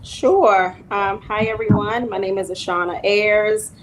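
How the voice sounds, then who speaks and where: A woman's voice: mid-range voice, fairly even intonation, unhurried, a little hiss or hum, poor audio. A woman from New York, a music marketing executive, telling her career story steadily with frequent ums. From Queens, New York.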